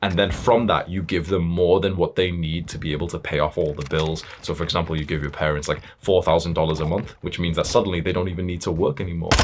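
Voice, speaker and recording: A man's voice: sits low, heavy background noise. A young man giving a blunt, stern motivational talk as a self-improvement coach, emphatic and swearing freely.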